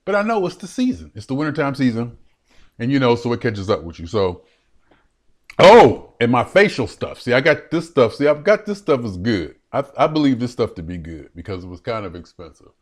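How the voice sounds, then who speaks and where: Casting: man, late 50s, solo home vlogger, rambling and animated, repeats himself a lot. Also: medium pitch, brisk. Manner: chatty and animated, laughing often, repeating phrases. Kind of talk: rambling solo vlog to a webcam. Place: his room at home. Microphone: webcam.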